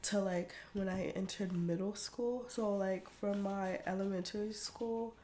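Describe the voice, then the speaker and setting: Young woman, casual podcast talk, mid-pitched, laughs a lot, lots of "like" and "um". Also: steady tone, a little slow, dark tone, slight background noise. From United States. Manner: chatty, laughs often, lots of fillers. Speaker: podcast guest.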